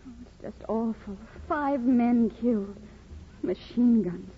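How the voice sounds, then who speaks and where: An actress playing a soft-hearted, anxious young wife and mother in a 1950s radio drama. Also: a little high, takes it slowly. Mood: worried, domestic. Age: young adult. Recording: muffled old radio with hiss.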